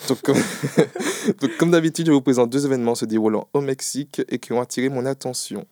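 A man's voice: medium pitch, a little fast, darkish tone, no room sound, clean studio sound. A man giving his events segment on a casual radio show, laughing often and tripping over his words.

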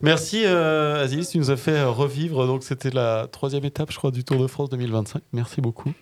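A man hosting a podcast without a script, speaking in a hesitant, halting way. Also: medium pitch, steady tone, a little hiss or hum. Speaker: podcast host. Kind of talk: unscripted hosting.

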